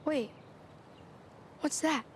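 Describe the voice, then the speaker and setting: A woman voicing an eager, stubborn young child in a fantasy quest in a video game. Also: very slow. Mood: excited.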